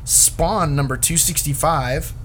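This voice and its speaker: A man's voice: on the high side, takes it slowly, a bit bright, a little hiss or hum. A man hosting a casual comic book podcast in an informal, enthusiastic manner. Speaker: podcast host.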